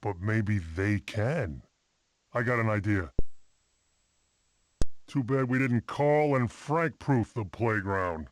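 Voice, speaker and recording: An actor voicing an expressive, wisecracking mammoth father-to-be in an animated family game. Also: lowish voice, slow pace, pauses often, a little hiss or hum. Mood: earnest, wry.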